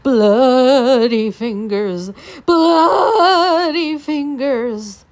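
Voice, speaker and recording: A woman voicing an eerie, mournful storybook ghost with drawn-out moaning. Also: sits high, exaggerated voice.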